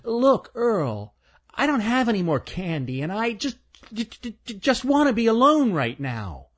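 A high, flustered squirrel for a storybook. He stammers on his consonants and sounds timid yet indignant, defensive in every reply, with a husky edge. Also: sing-song, a little slow, full character voice. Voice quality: stuttering.

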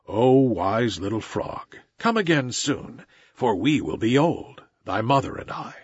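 A man's voice: mid-range voice, sing-song, a little slow, husky, no echo. A man voicing an aging fatherly wolf. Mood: fond. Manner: warm, fond farewell. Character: old fatherly male wolf. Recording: slightly dull recording.

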